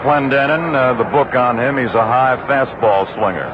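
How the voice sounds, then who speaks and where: A middle-aged man giving steady radio play-by-play of a baseball game, rising to excited calls on big plays. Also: mid-range voice, moderate pace. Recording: muffled old AM radio broadcast with loud hiss.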